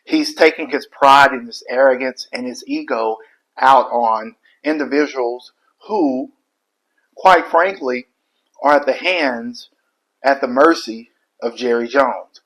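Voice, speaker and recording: A man giving preachy commentary in a deliberate, emphatic, moralizing way, with broken phrases. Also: mid-range voice, a bit bright, poor audio. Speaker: online commentator, life coach.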